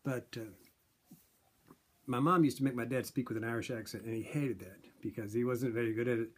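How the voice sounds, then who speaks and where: A man in his sixties telling a made-up family story in a rambling, playful, deadpan way to a webcam in a small room at home. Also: medium pitch, a little fast, husky. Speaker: vlogger. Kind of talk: rambling comic monologue. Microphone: webcam at desk distance.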